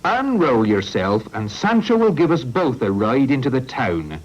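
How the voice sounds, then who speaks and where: A kindly older farmer, voiced by a man, talks in a hearty, fatherly way with a lively rise and fall. He speaks slowly, and the recording is muffled and lo-fi. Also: medium pitch, pitched above the performer's own voice. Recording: lo-fi old recording.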